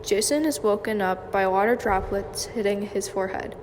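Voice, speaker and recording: Young female narrator, mid-pitched, scripted storytelling, roomy reverb and slight noise. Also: moderate pace. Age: young adult. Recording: noisy hall with long reverb.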